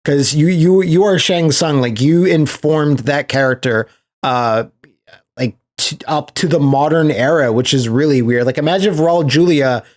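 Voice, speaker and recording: Male podcast host, voice a touch high, enthusiastic, rambling, informal slangy chat. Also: normal speed, darkish tone. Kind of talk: casual podcast chat about movies.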